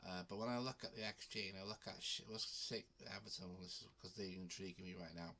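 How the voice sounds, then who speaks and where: A man's voice: low voice, fairly even intonation, quick pace, sharp tone. A man with a cold hosting his betting video, talking casually with frequent ums and restarts. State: has a cold. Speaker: betting channel host. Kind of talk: casual talk to camera.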